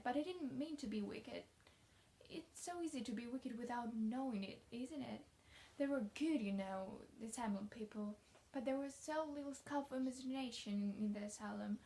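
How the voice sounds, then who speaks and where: A woman's voice: fairly high pitch, a little mellow, close to an everyday voice. A woman voicing a talkative, imaginative young orphan girl from a storybook, effusive and dreamy. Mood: wistful, confiding.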